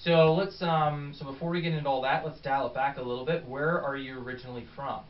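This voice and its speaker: A man hosting a podcast in an upbeat, encouraging way. His voice sits at a middle pitch. It is recorded echoey and dull from some distance, with faint noise underneath. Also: fairly even intonation, fast talker. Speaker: podcast host. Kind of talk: casual podcast interview.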